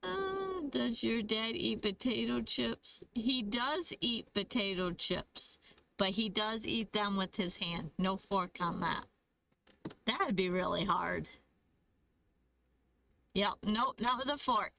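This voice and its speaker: Bright, slow-talking woman host. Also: medium pitch, vocal fry, a little hiss or hum, rough recording. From Michigan, USA. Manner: chatty, laughs often, reads viewer comments aloud. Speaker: livestream host. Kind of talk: casual trivia livestream chat.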